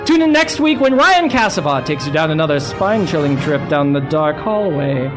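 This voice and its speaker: A man playing a bombastic, theatrical radio announcer in an old-time style, over loud music and a crowd. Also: very animated, normal speed. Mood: showy, bombastic. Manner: theatrical, booming delivery. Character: bombastic male radio announcer with spooky showmanship.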